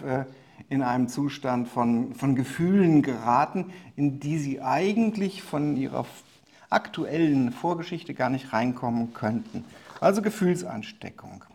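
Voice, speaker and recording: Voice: medium pitch, a little mellow. A lecturer giving a recorded slide lecture who reads from the slides, often corrects himself mid-word and adds short asides.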